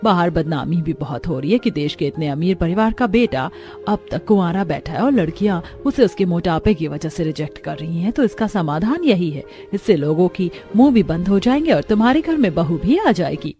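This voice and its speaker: A woman's voice: on the low side, lively intonation, normal speed, mellow, faint music behind, close to an everyday voice. A woman voicing a shrewd matchmaking aunt in a composed, persuasive manner.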